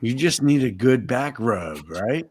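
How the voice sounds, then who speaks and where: A man's voice: medium pitch, expressive, unhurried. A man with a Massachusetts accent hosting a casual voice chat, teasing and laughing often.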